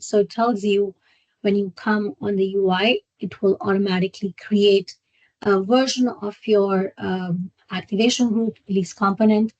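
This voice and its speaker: A woman presenting a live software walkthrough as a trainer, explaining step by step in a halting way. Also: mid-range voice, no room sound, highs cut off. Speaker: software trainer hosting a webinar. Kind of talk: live screen-share product walkthrough.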